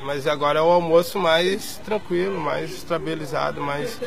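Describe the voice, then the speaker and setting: A man's voice: on the high side, steady tone, moderate pace, gravelly, a crowd in the background. A man in his thirties, an activist in an interview, talking earnestly and airing grievances with many tag questions.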